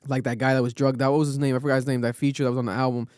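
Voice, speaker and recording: A man in casual podcast discussion as a co-host, speaking in slang, haltingly, often checking in with short tag questions. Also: mid-range voice, flat delivery, a little mellow, dry recording, studio quality.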